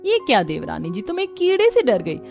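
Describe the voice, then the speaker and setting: A woman voicing a brisk, work-shy cartoon sister-in-law with a dismissive manner. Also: on the high side, wildly swooping pitch, creaky, a little mellow, soft background music, full character voice.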